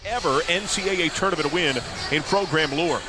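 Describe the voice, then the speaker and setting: A man's voice: on the high side, fairly quick, over music, poor audio. A man calling live basketball play-by-play in clipped, descriptive phrases. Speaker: play-by-play announcer. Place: courtside at a basketball arena.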